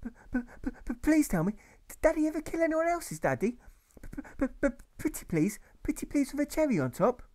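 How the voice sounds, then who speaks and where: Man putting on a stammering, high-voiced little boy. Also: big pitch swings, dark tone. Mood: anxious, pleading. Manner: stammering, halting, pleading. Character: nervous, earnest young boy with a stammer.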